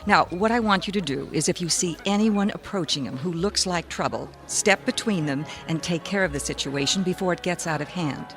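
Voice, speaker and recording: An actress playing a brisk, polished publishing publicist in a radio mystery, businesslike and diplomatic. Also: female voice, lowish voice, faint music behind, lightly acted. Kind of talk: old-time radio mystery acting.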